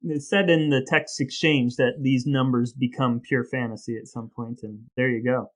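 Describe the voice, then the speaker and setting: A man's voice: mid-range voice, steady tone, moderate pace. A man co-hosting a podcast, chatting casually about trivia and research in a wry, playful, rambling way. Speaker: podcast co-host.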